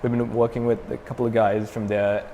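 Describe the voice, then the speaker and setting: A man's voice: on the low side, fairly quick, in a large hall, slight background noise. A young man from Sri Lanka, a metal band frontman, chatting casually as an interview guest with lots of fillers and restarts. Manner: relaxed, many fillers and restarts.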